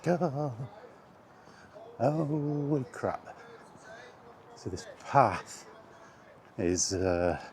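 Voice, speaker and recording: A man's voice: deep voice, a little mellow. A man chatting casually to camera while out walking, in a rambling, self-deprecating way. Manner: rambling, self-deprecating, mild exasperated swearing. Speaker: vlogger. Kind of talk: casual walking vlog chat. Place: outdoors on a path in windy weather. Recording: outdoor camera audio with background noise. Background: outdoor ambience, wind.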